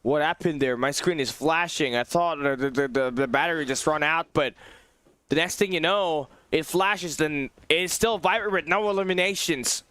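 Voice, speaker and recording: A man doing live play-by-play on a competitive mobile shooter match, with a fairly high pitch and a smooth, clear tone. His voice sounds worn and hoarse from tiredness, yet he keeps pushing the energy, often climbing into excited shouts. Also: normal speed, dry recording. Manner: excitable, rising to shouts at big plays. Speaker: esports caster. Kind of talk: live esports play-by-play commentary. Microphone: phone on a low battery.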